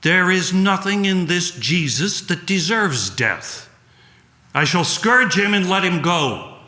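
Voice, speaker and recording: Man reading as commanding Roman governor, slow and echoey. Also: high voice, very animated, bright, forceful tone, pitched above the performer's own voice, exaggerated voice. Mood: ringing, desperate. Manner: ringing, deliberate, declamatory. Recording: echoey room sound.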